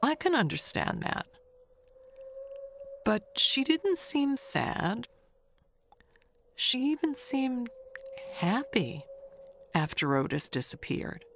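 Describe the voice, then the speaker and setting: A woman's voice: fairly high pitch, big pitch swings, slow, long pauses, fairly bright tone, slight background noise, heavily acted. A woman playing a chatty older neighbor, rambling and confiding in an audio mystery tale, coy and wistful. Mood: confiding, gossipy.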